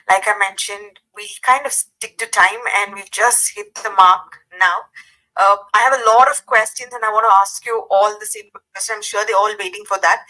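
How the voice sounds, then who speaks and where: A woman moderating a panel event, polite and brisk, with a few fillers as she moves things along. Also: mid-range voice, sharp tone, low-quality recording.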